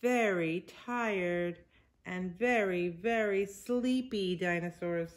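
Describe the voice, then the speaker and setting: Slow-paced woman reading to young children. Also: on the low side. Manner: simple phrases with emphasized words and asides to children. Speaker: teacher reading a picture book to young children. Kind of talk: read-aloud storytime for young children. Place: living room at home, indoors.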